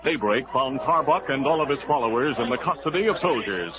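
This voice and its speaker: A man giving scripted, measured narration for a 1950s radio drama. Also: medium pitch, lively intonation. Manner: measured storyteller, building to urgency in action scenes. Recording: muffled 1950s radio recording with loud hiss.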